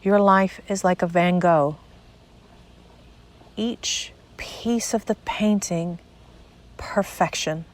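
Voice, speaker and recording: Slow, low-pitched woman guiding a meditation. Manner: measured, deliberate, with pauses between short phrases. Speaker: guided meditation narrator. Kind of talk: slow guided meditation, spiritual monologue.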